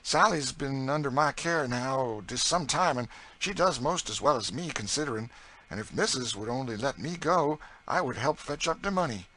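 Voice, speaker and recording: A male narrator plays an enslaved woman who cooks, motherly and eager. The voice is lifted high and pushed out loud and bright, in a folksy dialect full of emphatic exclamations. It belongs to an audiobook story. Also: animated, moderate pace, poor audio. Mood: coaxing. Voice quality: folksy dialect speech with dropped sounds. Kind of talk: audiobook character dialogue.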